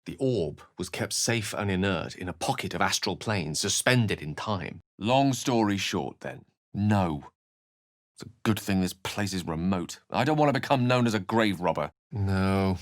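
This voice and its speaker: A man's voice: low voice, lively intonation, measured pace, dry recording, clean studio sound. A man voicing an eloquent, courtly wizard companion in a fantasy video game, speaking formally and theatrically. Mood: measured, cautionary, then wry, light, then regretful, tender. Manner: articulate, formal, theatrical phrasing. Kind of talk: fantasy video game voice acting, dramatic narration and dialogue.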